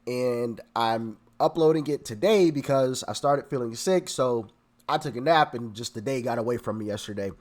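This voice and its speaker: A man's voice: medium pitch, moderate pace. A man hosting casual online political commentary, stuffy and congested from a cold, talking straight to his viewers in a chatty way. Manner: chatty, addresses viewers directly.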